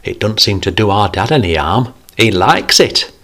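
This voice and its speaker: A man voicing a loud, cheeky young boy. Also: on the low side, normal speed. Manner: loud and excited.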